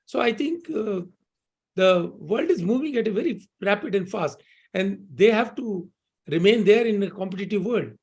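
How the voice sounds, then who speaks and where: A man's voice: high voice, lively intonation, normal speed, husky. Surgeon, male, upper register, upbeat webinar answers peppered with "you know". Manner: fluent, optimistic, repeats "you know" and "I think".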